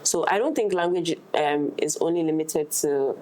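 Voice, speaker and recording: A woman's voice: sits low, normal speed, darkish tone. A woman talking casually in a roundtable discussion, thoughtful and feeling her way through her ideas. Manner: thoughtful, hesitant while forming ideas. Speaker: actress in discussion.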